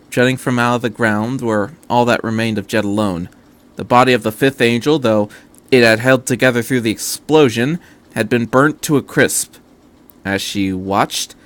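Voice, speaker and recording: A man's voice: mid-range voice, fairly bright tone. A man reading fan fiction aloud as an amateur narrator of a scripted story.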